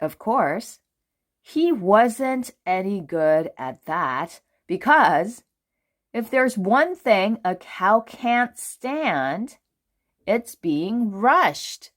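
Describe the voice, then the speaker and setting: A woman reading a children's storybook aloud in an expressive, deliberate way with dramatic phrasing. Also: medium pitch. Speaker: children's book reader.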